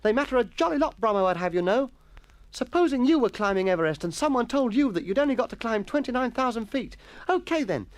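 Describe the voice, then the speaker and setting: An eager, cheeky schoolboy, as a male narrator puts him on, earnest and breathless, his voice pitched high above a grown man's. He pleads with his teacher in a storybook reading. The sound is close and dry. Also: expressive, fast, no echo. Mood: indignant. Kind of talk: audiobook character voice.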